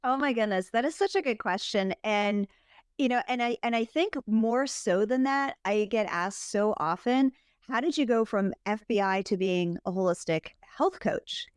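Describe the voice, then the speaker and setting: Woman, podcast guest, smooth clear voice, bubbly storytelling, dry sound. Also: medium pitch, expressive, normal speed, dry recording. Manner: bubbly, laughs often. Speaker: podcast guest, health coach. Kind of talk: casual interview chat.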